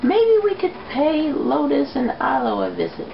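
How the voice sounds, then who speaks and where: A woman voicing a gentle, concerned female pegasus pony, soft-spoken and hesitant, in an amateur fan fiction reading. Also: sits high, wildly swooping pitch, moderate pace, vocal fry, pitched above the performer's own voice, heavily acted. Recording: muffled, noisy home recording.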